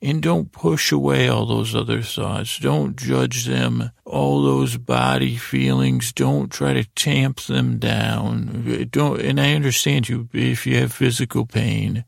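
Very creaky, meandering male bedtime host. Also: mid-range voice, slow pace, few pauses, dry recording, studio quality. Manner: meandering, hesitant, repeats and trails off. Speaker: podcast host. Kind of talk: rambling bedtime monologue for sleep.

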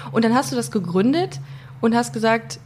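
A woman's voice: a little high, expressive, moderate pace, a little mellow, a little hiss or hum. A woman hosting a podcast in casual interview chat, joking and talking with many fillers and restarts. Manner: chatty and jokey, with frequent fillers and restarts. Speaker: podcast host.

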